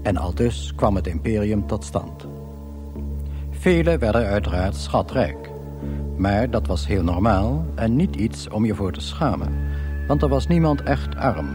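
A deadpan galactic guidebook narrator. His lowish voice tells the tale with mock grandeur, scripted radio comedy style, with music playing clearly underneath. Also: unhurried. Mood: wry, grandiose.

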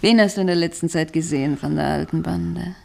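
An actress playing a lonely, needy, jealous estranged wife in a German radio drama, emotional, plaintive and reproachful. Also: female voice, fairly low pitch, fairly quick, vocal fry. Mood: strained, coaxing.

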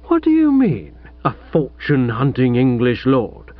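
A man's voice: mid-range voice, wildly swooping pitch, measured pace, no room sound. A man voicing a flustered, weak-willed young English lord who has no money, in a dull lo-fi radio recording. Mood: startled.

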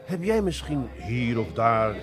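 Low-voiced shrewd Dutch detective. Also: male voice, very animated, unhurried, over music. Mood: sly, insistent. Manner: feigned innocence, needling questions. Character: shrewd, teasing police detective quietly fishing for tips. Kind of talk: television crime drama acting.

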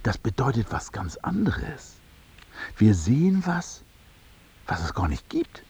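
A cynical, excitable schemer in a naturalistic radio drama. His husky voice leaps very widely in pitch as he talks in brash, emphatic slang, always pushing his point. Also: slow, full character voice. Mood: agitated realisation.

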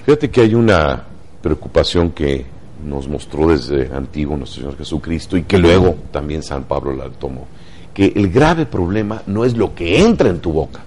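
A man's voice: a bass voice, animated, normal speed, a little mellow, a little hiss or hum. A man with a Mexican accent giving motivational talk show commentary, emphatic and fond of rhetorical questions.

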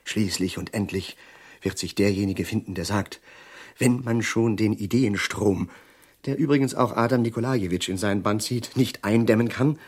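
An actor playing a bitter, sardonic middle-aged professor prophesying doom in a German radio drama, with a husky, declamatory delivery. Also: male voice, lowish voice, moderate pace. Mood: resigned, soothing. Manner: declamatory, sardonic, lingering on words with sudden hushes.

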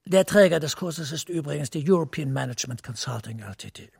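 An officious administrative boss in a radio play, pitched fairly high with a gravelly edge. He speaks formally and persuasively, close and dry. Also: expressive, brisk, no room sound, exaggerated voice. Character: smooth, officious male EU administration superior.

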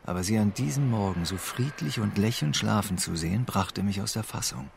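A man's voice: brisk, slight background noise. An actor playing a weary, melancholic homeless man who broods over his lost family in a radio drama, with subdued delivery. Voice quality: low, gravelly. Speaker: narrator and protagonist. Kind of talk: radio drama first-person narration and dialogue.

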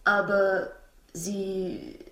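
An actress playing a distraught, fearful Italian wife in a radio drama, speaking in breathy, agitated, broken phrases. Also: female voice, mid-range voice, level tone, extremely slow, fairly bright tone. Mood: shocked, agitated. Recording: roomy, echoing studio radio play.

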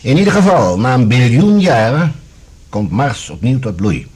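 A man acting a formal, authoritative general in a science-fiction radio drama, with a husky voice and a deliberate, questioning manner. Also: medium pitch, very animated, a little mellow. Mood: probing, measured. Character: authoritative male general, probing and measured questioner.

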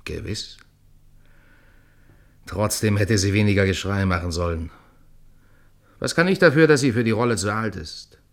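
Radio play casting: worldly, weary dramatist, low-voiced, resigned, quietly cynical. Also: male voice, animated, moderate pace, pauses often. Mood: weary, irritated. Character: weary, worldly male playwright and troupe leader. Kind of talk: intimate German radio play acting.

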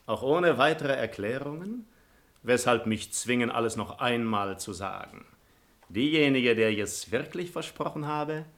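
Casting: ardent gentleman philanderer, florid and gallant, forceful bright tone, classic radio drama. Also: medium pitch. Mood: slippery bluffing. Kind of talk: classic German radio play acting.

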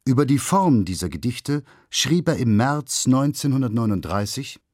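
A man narrates a scripted documentary in a mid-pitched voice. He keeps it factual and formal, recorded in a very dry studio. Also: animated, normal speed, darkish tone, no room sound.

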